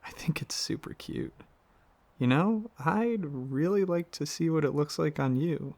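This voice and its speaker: A man playing an affectionate, excitable dragon boyfriend in an ASMR roleplay, talking casually and a little haltingly, with a gravelly voice. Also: on the high side. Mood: playful, affectionate. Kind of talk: ASMR roleplay, softly addressing the listener as partner.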